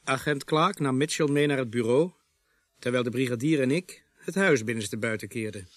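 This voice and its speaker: An actor playing a policeman who narrates his investigation in a radio play, in measured storytelling with a husky voice. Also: mid-range voice, no room sound, natural voice. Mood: matter-of-fact. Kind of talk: scripted radio drama narration.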